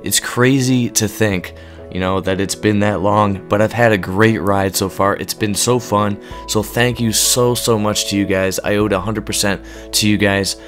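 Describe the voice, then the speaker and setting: This is a young man with a hoarse, fading voice, pitched low and monotone. He rambles on earnestly with plenty of ums, talking straight to his audience as if to friends. Soft guitar music plays quietly underneath. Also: normal speed. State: hoarse, voice going from illness. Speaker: gaming YouTuber. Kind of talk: unscripted casual talk to camera.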